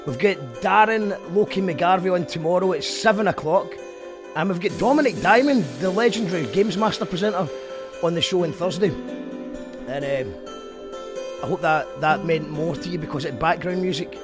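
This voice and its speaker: A man's voice: high voice, normal speed. A man with a Scottish accent hosting an online live show in loose, casual chat that often restarts mid-sentence. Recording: background music playing under the voice.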